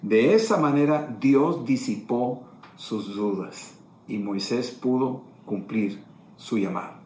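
A man's voice: medium pitch, slow, darkish tone, slight background noise. Man, middle-aged, pastor, mid-range voice, explanatory preaching, echoey room, faint ambience. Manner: explanatory preaching, retelling scripture. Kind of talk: sermon teaching.

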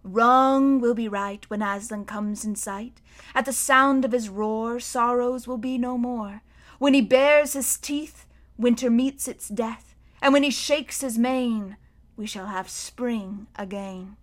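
A woman's voice: a little high, fairly even intonation, takes it slowly. A woman voicing a gloomy, earnest male beaver who speaks in a grave, folksy way. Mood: hearty.

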